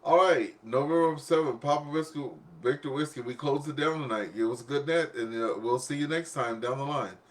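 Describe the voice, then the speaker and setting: A man's voice: medium pitch, a little fast, hardly any pauses, a little hiss or hum. Chatty man hosting a radio net. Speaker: amateur radio net host. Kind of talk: casual radio chat.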